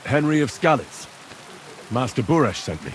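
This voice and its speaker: A man's voice: medium pitch, very animated, moderate pace, lightly acted, low-quality recording. An actor playing a polite young medieval man questioning workers, in video game dialogue. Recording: game audio with rain.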